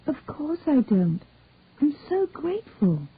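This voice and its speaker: A woman's voice: high voice, big pitch swings, takes it slowly, pitched above the performer's own voice, exaggerated voice. A woman reading the part of a young adopted daughter who sounds hesitant and pleading. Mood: grateful. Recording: dry, tinny phone-like sound.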